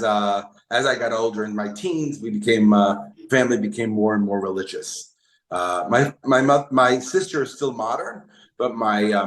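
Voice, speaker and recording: A man who is a comedian, talking casually in an interview, halting with many restarts and fillers. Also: lowish voice, echoey room, rough recording. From Brooklyn, New York. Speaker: comedian guest.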